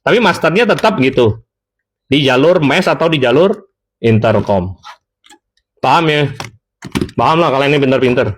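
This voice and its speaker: A man's voice: mid-range voice, animated, normal speed, pauses often, husky. A man giving a casual, chatty tutorial, talking straight to viewers and correcting himself as he goes. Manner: chatty, addresses viewers directly, self-corrects. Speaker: seller demonstrating intercoms.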